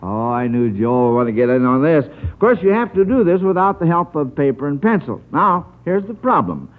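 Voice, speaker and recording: An animated man hosts an old radio quiz show with a slightly high voice and swooping intonation. He is genial and halting in his phrasing, and the narrow, tinny broadcast sound marks it as vintage radio. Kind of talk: live 1950 radio quiz show hosting.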